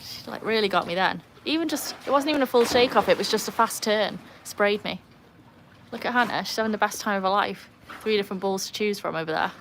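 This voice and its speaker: A woman's voice: medium pitch, quick pace, a bit bright, slight background noise. Chatty woman with a mixed Welsh accent. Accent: mixed Welsh and English. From Wales. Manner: chatty, reads chat comments aloud, laughs easily. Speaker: livestream host. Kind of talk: casual livestream chat. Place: outdoors by a pool.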